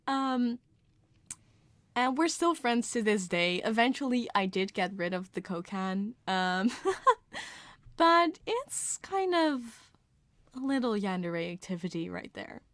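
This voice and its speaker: Female ASMR storyteller, casual and confessional, unhurried delivery with a light giggly touch, very dry sound. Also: mid-range voice, very animated, fairly bright tone, dry recording.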